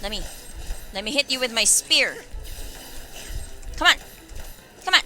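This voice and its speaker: A woman streaming a video game with casual, expressive, reactive commentary, often repeating her phrases. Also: fairly high pitch, a little slow, faint music behind. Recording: livestream with noisy game audio behind. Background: video game sound.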